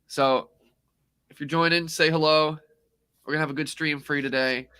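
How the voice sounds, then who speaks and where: Man co-hosting a livestream, hoarse voice, bright and forceful, rambling, upbeat and jokey. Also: fairly high pitch, steady tone, moderate pace. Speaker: co-host. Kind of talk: casual livestream chat.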